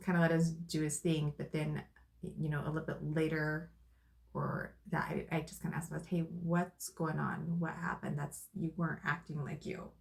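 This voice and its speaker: Soft-spoken low-voiced woman. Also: level tone, normal speed, vocal fry. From Arizona, USA. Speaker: co-host. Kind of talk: casual couple conversation.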